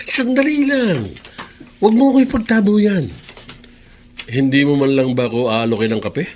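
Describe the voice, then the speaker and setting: Man acting sly, high-pitched smug extortionist. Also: measured pace, a little mellow, slight background noise, natural voice. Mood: smug, taunting. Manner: mocking, oily. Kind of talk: Tagalog radio drama acting.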